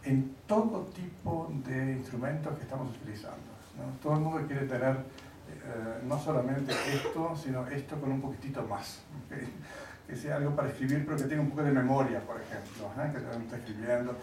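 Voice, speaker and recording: A man giving a lecture, wry and conversational, pausing often to search for words. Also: mid-range voice, creaky, a little mellow, a little hiss or hum. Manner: halting, searching for words with many fillers. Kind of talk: lecture to an audience. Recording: echoey hall, mic at a distance.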